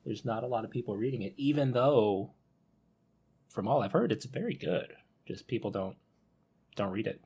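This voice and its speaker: Husky man in casual podcast chat. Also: lowish voice, lively intonation, normal speed, pauses often, darkish tone.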